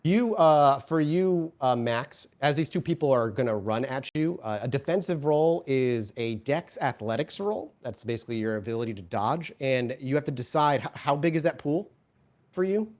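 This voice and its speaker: A man's voice: medium pitch, expressive, moderate pace, a little mellow. A man working as game master, improvising vivid narration and explaining rules in a conversational way.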